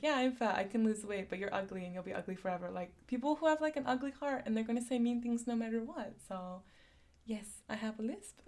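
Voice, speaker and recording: A woman's voice: a little high, a little mellow. A young woman from San Diego with a lisp, chatting casually on a live stream, reading chat comments aloud and laughing often. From San Diego, USA.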